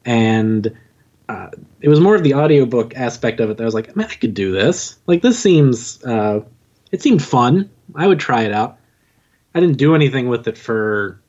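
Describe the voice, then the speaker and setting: A man's voice: medium pitch, darkish tone, highs cut off. A man chatting informally as a podcast guest about his own writing, with a self-deprecating manner. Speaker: podcast guest, audio drama writer and narrator. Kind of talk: casual interview chat.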